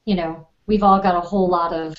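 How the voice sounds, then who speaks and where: A woman's voice: on the low side, fairly even intonation, brisk, dull audio. A woman co-hosting a podcast, chatting casually about books and writing. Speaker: podcast co-host. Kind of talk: casual book discussion.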